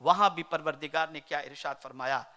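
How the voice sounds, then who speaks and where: A man from India, preaching a sermon in an oratorical style that swings between loud declaiming and soft recitation. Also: a little high, a little fast. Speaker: Islamic preacher.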